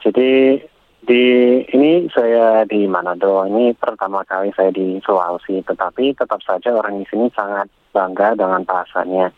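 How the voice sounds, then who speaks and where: Foreign male guest on a phone line, careful and halting, dry and lo-fi. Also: fairly low pitch, dry recording. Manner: halting, careful speech of a language learner. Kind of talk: radio interview.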